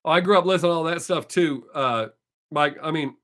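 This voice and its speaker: A man's voice: fairly high pitch, brisk, fairly bright tone. An older man, chatting casually with viewers on his live stream, rambling and correcting himself as he goes, his voice still not fully recovered.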